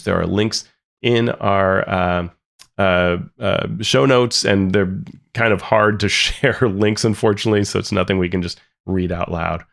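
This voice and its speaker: A man with a lowish, gravelly voice full of vocal fry co-hosts a podcast. He passes on news and announcements informally. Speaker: podcast co-host.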